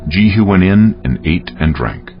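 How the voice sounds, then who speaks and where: A man giving solemn, measured, scripted dramatic narration of scripture as a storyteller. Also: low-pitched, very animated. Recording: dull audio with music underneath.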